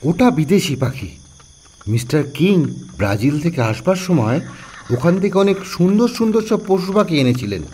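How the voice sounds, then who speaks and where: A man voicing a talkative, friendly old village coachman with a husky voice in an audio story. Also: fairly high pitch, very animated, normal speed, dark tone, a little hiss or hum. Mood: chatty, admiring.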